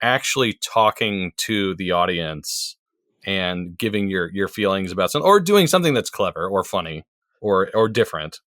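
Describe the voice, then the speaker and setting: A man's voice: low-pitched, expressive, normal speed, fairly bright tone, no room sound, studio quality. A man talking as a podcast guest, easygoing and thoughtful, with frequent fillers. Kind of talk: casual chat.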